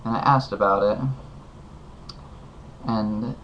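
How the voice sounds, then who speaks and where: A fairly low, monotone man talks at home, meandering and earnest as he hands out advice. The sound is dull and lo-fi, with a faint hiss. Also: slow. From United States. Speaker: vlogger giving advice. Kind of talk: casual heartfelt advice monologue.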